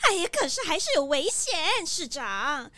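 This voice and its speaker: A woman playing an anxious, excitable cruise passenger who frets and exclaims in a children's mystery audio drama. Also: high voice, measured pace, dry recording, exaggerated voice. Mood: worried. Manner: fretful exclaiming.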